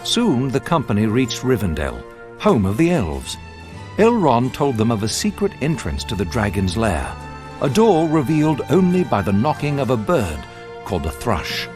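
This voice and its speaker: A man narrating a fantasy video game like a storybook, in a measured, dramatic and ominous way. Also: medium pitch, wildly swooping pitch, music in the background.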